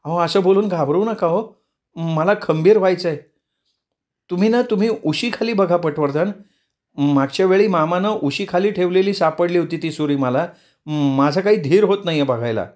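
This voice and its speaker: Nervous young woman, high and fretful, read by a male narrator. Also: moderate pace, darkish tone, natural voice. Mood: anxious. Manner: fretful, pleading. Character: frightened young woman, anxious and jittery.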